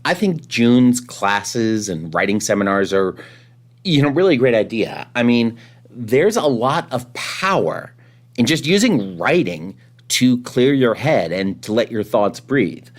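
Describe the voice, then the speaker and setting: A man's voice: medium pitch, big pitch swings, a little slow. A man hosting a podcast in a conversational interviewing and commentary style. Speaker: podcast host.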